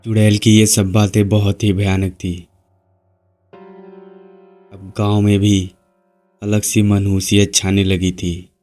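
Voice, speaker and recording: A man narrating a horror cartoon story in an even, unhurried manner. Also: fairly low pitch, faint music behind.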